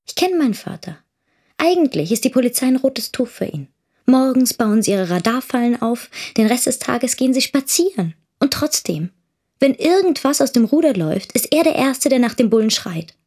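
Husky, defiant young daughter. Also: female voice, fairly high pitch, sing-song, normal speed, darkish tone, full character voice. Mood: scornful. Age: young adult. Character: defiant, wounded teenage daughter of a know-it-all teacher. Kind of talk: first-person radio drama monologue.

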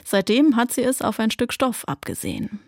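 A woman's voice: on the high side, huge pitch swings, normal speed, no room sound, studio quality. A woman hosting a news podcast, narrating from a script and asking her guests questions. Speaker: podcast host.

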